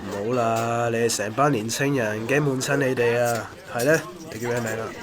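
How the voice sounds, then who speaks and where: A man's voice: mid-range voice, fairly even intonation, moderate pace, a crowd in the background, close to an everyday voice. A man with a Hong Kong Cantonese accent playing a weary, mild-tempered businessman in a radio drama. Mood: affable.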